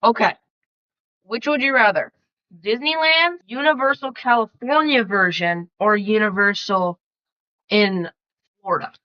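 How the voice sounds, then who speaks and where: A woman's voice: mid-range voice, measured pace, long pauses, highs cut off. A female speaker reading out quiz questions in a casual podcast style.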